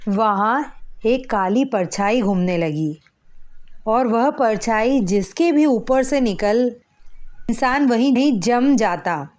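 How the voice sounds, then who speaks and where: A woman's voice: on the high side, animated, takes it slowly, darkish tone, highs cut off. A woman narrating a scripted children's story as a storyteller.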